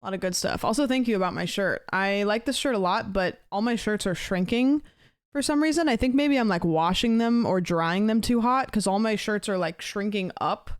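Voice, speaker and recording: A woman's voice: mid-range voice, normal speed, no echo, studio quality. A woman in her late twenties, a streamer chatting casually with her viewers, rambling with lots of fillers. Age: late 20s.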